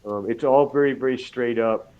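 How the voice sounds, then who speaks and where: A man with a cold, talking casually as a guest on an online radio show, halting with fillers and restarts. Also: medium pitch, phone-quality sound. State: has a cold.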